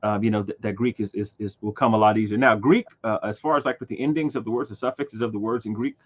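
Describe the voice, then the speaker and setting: Host, man in his thirties, lowish pitch, halting and filler-heavy, slightly dull sound. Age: 30s. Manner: halting, many repeated words and fillers. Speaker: livestream host. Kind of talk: casual livestream chat.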